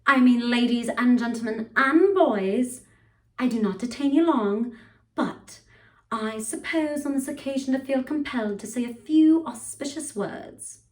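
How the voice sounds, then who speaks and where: A woman playing a tipsy, pompous upper-class English gentleman with a put-on British accent, rambling through a grandiloquent speech. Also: on the high side, measured pace, lightly acted. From United States. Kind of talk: theatrical monologue performance. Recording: voice from far off the mic.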